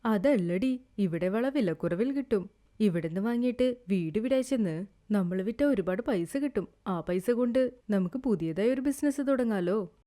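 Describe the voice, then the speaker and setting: A woman's voice: medium pitch, animated, moderate pace, warm tone, pitched below the performer's own voice, close to an everyday voice. A woman voicing a practical, sceptical elder sister in a village storybook tale, matter-of-fact and scolding.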